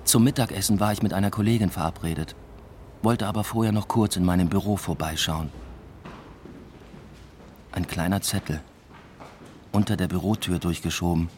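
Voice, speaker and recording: A man's voice: low-pitched, fairly even intonation, moderate pace, long pauses, darkish tone, slight background noise, lightly acted. An actor playing a weary academic and family man, narrating a radio drama in an introspective, understated way. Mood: brooding. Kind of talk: scripted first-person radio drama narration with dialogue. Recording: music behind the voice. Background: music.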